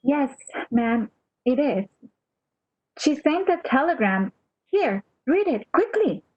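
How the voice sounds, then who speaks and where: A woman's voice: high voice, very animated, slow, highs cut off, heavily acted. A woman playing a kindly, loyal, deferential maid with a respectful manner in an amateur radio drama. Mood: attentive concern.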